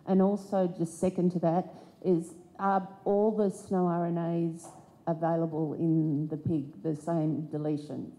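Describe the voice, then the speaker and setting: Female audience questioner, low and mellow-voiced, hesitant. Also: little pitch movement, unhurried, low-quality recording. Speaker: audience member asking a question.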